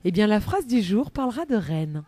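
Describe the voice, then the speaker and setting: A woman presenting a chatty live radio magazine segment in a bubbly, playful and expressive manner. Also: mid-range voice, normal speed, no echo.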